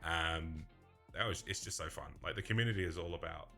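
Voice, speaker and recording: A man with a mixed English and Australian accent, co-hosting a casual card-game chat, chatty and quick to laugh. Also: deep voice, creaky, pushed and bright, faint music behind. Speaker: podcast co-host.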